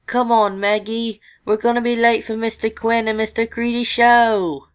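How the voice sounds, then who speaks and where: A woman's voice: on the high side, little pitch movement, moderate pace, smooth, natural voice. A woman playing a sweet, earnest little boy in an amateur audio drama. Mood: excited.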